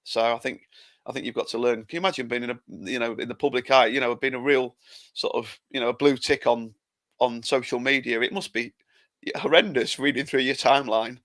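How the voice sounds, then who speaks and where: A man's voice: medium pitch, fairly even intonation, a little fast, fairly bright tone, no echo. An English man co-hosting a football podcast in casual chat, often restarting his sentences. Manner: hesitant, lots of repeated starts. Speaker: podcast co-host.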